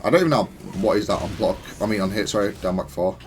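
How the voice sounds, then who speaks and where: A man's voice: fairly low pitch, lively intonation, fast talker, soft background music. A man giving casual, analytical gameplay commentary in a matter-of-fact, self-critical way. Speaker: gaming content creator.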